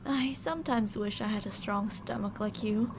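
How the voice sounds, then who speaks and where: A woman's voice: mid-range voice, moderate pace, noisy background, natural voice. A woman playing a controlling mad scientist in an ASMR audio drama, sugary and polite but ready to turn stern and commanding. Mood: businesslike, slightly worried. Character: controlling female mad scientist, sweet-talking, fretful captor.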